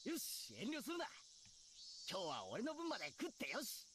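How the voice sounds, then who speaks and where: Visual novel schoolboy: brash, good-hearted, rapid and very animated, rough casual delivery. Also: male voice, high voice, background noise. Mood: playful, generous. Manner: rough casual boyish speech. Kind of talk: Japanese visual novel voice acting.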